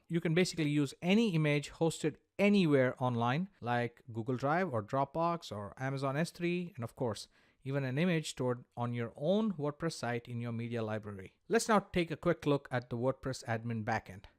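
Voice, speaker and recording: A man presenting a software tutorial, explaining a product's features step by step in a demo narration. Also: mid-range voice, dry recording, clean studio sound.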